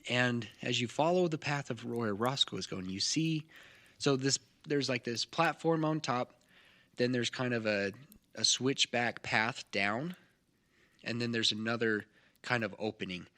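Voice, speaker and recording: A man narrating a tabletop game as game master, describing scenes in a halting way. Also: medium pitch, bright, forceful tone. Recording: noticeable background noise.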